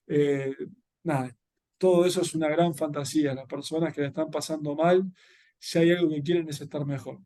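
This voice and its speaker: A man with an Argentine Spanish accent and a fairly high, soft-edged, mellow voice. He talks as an interview guest, explaining things in an earnest, reflective way. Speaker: interview guest, social entrepreneur and educator.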